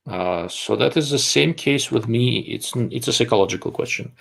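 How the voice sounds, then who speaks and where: A man's voice: mid-range voice, moderate pace, gravelly, fairly bright tone. A man from Russia, hosting a live-stream chat in a rambling way, full of fillers and restarts. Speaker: host.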